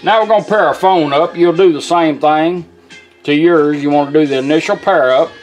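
Male, middle-aged, slightly high voice. Informal workshop how-to, step by step, faint music behind. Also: moderate pace, rough recording. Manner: plain-spoken, step-by-step instruction. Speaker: vintage car radio technician demonstrating a product. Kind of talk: informal hands-on product demonstration. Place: small indoor workshop at a bench.

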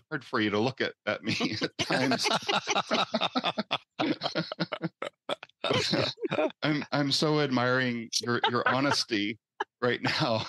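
A man's voice: mid-range voice, a bit bright, no echo. A man, a guest therapist, speaking earnestly in a practice role-play with frequent repeated words.

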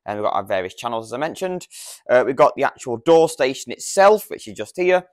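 A man's voice: medium pitch, sing-song, brisk. A British man in his thirties giving an informal, step-by-step product demonstration in a practical, explanatory way, on a lapel mic. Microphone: lavalier lapel microphone.

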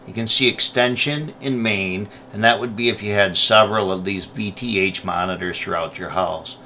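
A man's voice: lowish voice, sharp tone, slight background noise. A man presenting a product tutorial, explaining each step in a plain, methodical way. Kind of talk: step-by-step instructional narration.

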